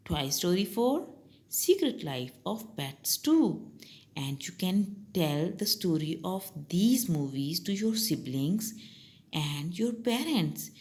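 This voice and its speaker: Slow, lively Pakistani woman teacher. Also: medium pitch. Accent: Pakistani English. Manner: slow, patient, step-by-step instruction. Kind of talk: explaining summer tasks to young pupils.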